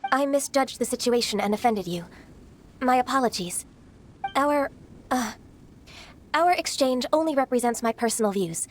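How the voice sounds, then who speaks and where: Woman acting high-voiced, solemn, polite young official. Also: lively intonation, a little hiss or hum, lightly acted. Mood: apologetic. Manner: formal, measured, courteous. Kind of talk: video game voice acting.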